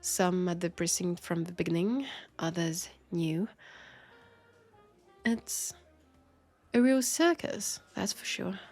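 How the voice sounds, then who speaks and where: A woman's voice: lowish voice, takes it slowly, long pauses, darkish tone, soft background music. A woman with a French accent playing a guarded but friendly vampire police officer in an intimate audio drama, speaking hesitantly and wryly, as if confiding. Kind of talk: roleplay audio drama, intimate one-sided conversation.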